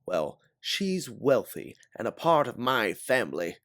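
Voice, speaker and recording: A man's voice: mid-range voice, sing-song, unhurried, a bit bright, no echo, clean studio sound, voice pushed up. A man voicing a haughty, menacing, wealthy crime boss with an imperious manner in an audiobook. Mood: angry, indignant. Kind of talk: audiobook character voice.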